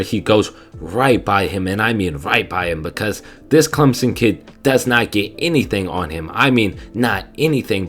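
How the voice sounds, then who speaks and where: A young man with a stuffy nose giving casual, enthusiastic film breakdown commentary, often asking "right?". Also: fairly low pitch. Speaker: fan video host.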